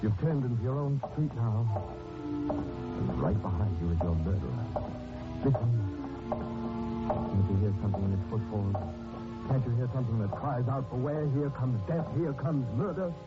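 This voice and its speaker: Male actor as ominous murder narrator, swooping expressive delivery, taunting, old muffled radio recording. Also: medium pitch, close to an everyday voice. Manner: deliberate, ominous, taunting address. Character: sinister second-person narrator, savoring murder. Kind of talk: 1940s radio thriller narration. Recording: muffled 1940s radio recording, loud music.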